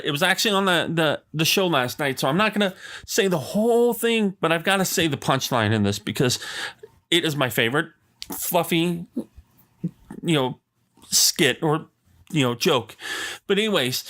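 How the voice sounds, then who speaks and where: A man's voice: on the high side, lively intonation, unhurried, long pauses, a bit bright. A man hosting his own podcast, ranting in a rambling, enthusiastic way full of fillers and asides. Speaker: podcast host. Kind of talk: casual solo podcast rant. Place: Arizona.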